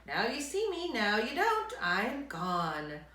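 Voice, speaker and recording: A woman voicing a small, meek but persistent storybook mouse with a gentle, courteous, apologetic manner. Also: on the high side, huge pitch swings, slow, distant mic, heavily acted. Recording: echoey room.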